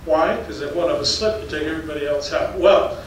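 A man's voice: on the high side, quick pace. An older man giving a storytelling slide talk as a professor, folksy and anecdotal, chuckling between stories. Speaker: geology professor giving a public lecture. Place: darkened lecture hall with audience. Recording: very echoey hall, distant mic, faint noise.

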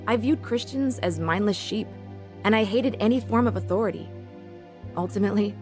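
A woman's voice: medium pitch, close to an everyday voice. An actress playing a rebellious, angry young woman in a first-person radio drama, both narrating and acting. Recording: music bed behind the voice. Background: music.

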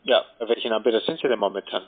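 A man's voice: fairly low pitch, very fast. A man making a phone sales call as a telemarketer, with a pushy, curt manner. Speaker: telemarketing caller selling electricity contracts. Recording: tinny phone line.

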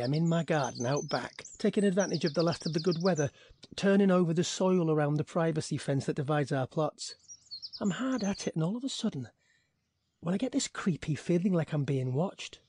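An actor playing an exasperated young bachelor, griping sarcastically about a neighbour in an audio drama monologue. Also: male voice, a little high, expressive, moderate pace, darkish tone, a little hiss or hum, natural voice. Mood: irritated, sardonic. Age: young adult. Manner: sardonic, griping.

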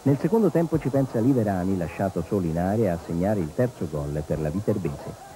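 Lowish-voiced male football highlights narrator. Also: lively intonation, fairly quick, warm tone, low-quality recording. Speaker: football reporter. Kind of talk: scripted match highlights narration.

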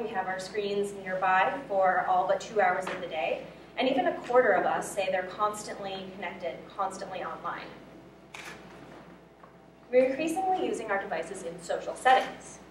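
A woman's voice: medium pitch, recorded from a distance. A young woman delivers a prepared talk as a student presenter. She is in a lecture room, picked up from afar with noticeable echo and a little background noise. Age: young adult.

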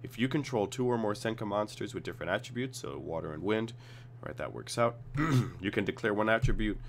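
Deep-voiced man with a cold. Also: lively intonation, fairly quick, gravelly, slight background noise. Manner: thinking aloud, reads card text then reacts. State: has a cold, slightly raspy. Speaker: card game tutorial host. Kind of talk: casual screen-recorded card review.

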